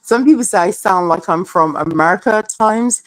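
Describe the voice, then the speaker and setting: A woman's voice: mid-range voice, normal speed, dry recording. A woman from Canada hosting a casual live stream, reading out viewer comments and giving her opinions candidly.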